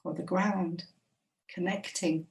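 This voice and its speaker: Very slow, low-voiced woman guiding meditation. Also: fairly even intonation, creaky, distant mic. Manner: gentle, unhurried, with long pauses. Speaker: meditation teacher. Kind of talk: guided body scan meditation.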